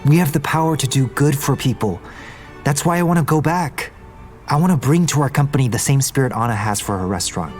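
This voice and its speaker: A man's voice: medium pitch, animated, fairly quick, darkish tone, soft background music, natural voice. An actor playing an idealistic young male business heir in an audio drama, earnest and conversational. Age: young adult.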